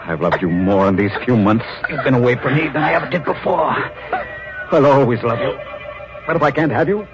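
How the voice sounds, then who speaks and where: An actor playing an obsessed, jealous, murderous sculptor in vintage radio horror, intense, theatrical and menacing. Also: male voice, medium pitch, lively intonation, fairly quick, long pauses, close to an everyday voice. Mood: anguished rage. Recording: muffled old radio recording, music behind.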